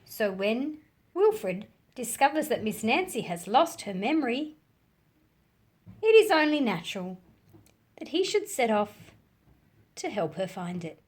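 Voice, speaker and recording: An Australian woman hosting a children's read-aloud, telling stories and explaining them in simple, measured phrases with questions to her listeners. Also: on the high side, big pitch swings, a little mellow, husky.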